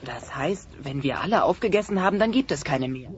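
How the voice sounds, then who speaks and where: An actor playing an easygoing, slow-on-the-uptake teenage dinosaur son in a dubbed cartoon, hesitant and puzzled. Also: male voice, a little high, expressive, natural voice. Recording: muffled cassette transfer, faint noise.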